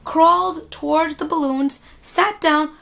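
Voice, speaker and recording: A woman telling a spooky personal story casually, in a dramatic, emphatic manner with pauses. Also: high voice, fairly even intonation, vocal fry, fairly bright tone. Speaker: storytime vlogger. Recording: music playing behind the voice. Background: background music.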